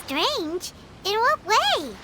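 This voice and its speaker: A tiny, chirpy fairy companion from a video game. The voice is extremely high and squeaky, childlike and nosy, swinging up and down with curiosity. Loud noise runs behind it. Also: female voice, sing-song, extremely slow, exaggerated voice. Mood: puzzled, nosy. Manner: perky, inquisitive, talks in third person.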